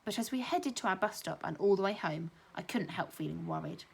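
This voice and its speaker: A young woman, a primary school teacher, reading a children's novel aloud with lively expression. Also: fairly quick, gravelly, husky. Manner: lively storybook reading, higher and louder for children's lines.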